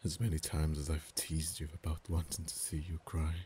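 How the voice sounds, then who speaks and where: A man voicing a protective male dragon in human form, tender yet teasing, speaking softly and often in a whisper for an ASMR roleplay. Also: rumbling low, little pitch movement, a little fast, a little hiss or hum. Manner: soft, hushed, often whispered.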